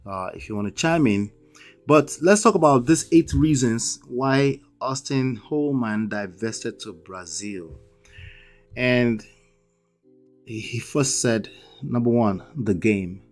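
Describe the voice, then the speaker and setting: Nigerian-accented man lecturing on a livestream. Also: lowish voice. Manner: emphatic, lecturing, asks "okay?" and "right?" often. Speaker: livestream host. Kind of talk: live-stream commentary.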